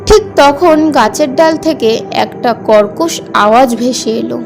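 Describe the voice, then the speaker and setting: Female narrator for horror fairy tales: high voice, slow scripted delivery, music underneath. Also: vocal fry. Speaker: story narrator.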